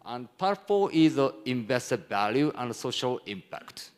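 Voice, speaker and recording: A Japanese man presents at a conference in a medium-pitched voice. His accent is Japanese, and his English is halting, with phrases broken and rebuilt as he explains his points. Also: big pitch swings. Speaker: conference speaker, hedge fund executive.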